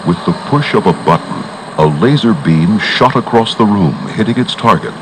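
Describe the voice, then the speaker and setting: A man's voice: lowish voice, lively intonation, normal speed, background noise. A man narrating a children's read-along adventure in a dramatic storytelling style. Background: loud music.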